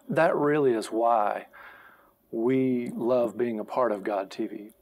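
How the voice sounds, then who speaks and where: A man in his thirties with a gravelly, creaky voice of middling pitch. He talks earnestly like a pastor on a studio talk show, close on a lapel mic. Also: slow pace. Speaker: pastor, programme guest. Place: TV studio, seated on a sofa.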